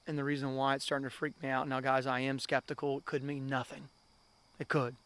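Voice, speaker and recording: A man in his thirties tells ghost stories to camera in a casual, earnest vlog style. He rambles, repeats himself and keeps calling his viewers guys. His voice sits at a medium pitch, and by his own account he talks loud. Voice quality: loud talker. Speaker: channel host.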